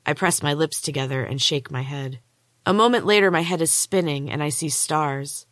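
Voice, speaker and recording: Woman storyteller, fairly low voice, very dry sound. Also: normal speed, fairly bright tone, dry recording. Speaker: podcast host and author. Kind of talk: first-person fiction storytelling, read aloud.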